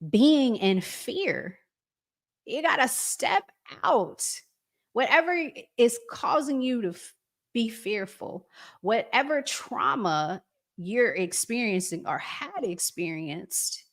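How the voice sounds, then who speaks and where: An American woman hosting a relationship talk show, chatting to viewers and giving advice in an earnest, emphatic, deliberate way with pauses. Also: lowish voice. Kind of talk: live chat to viewers, reading comments, giving advice.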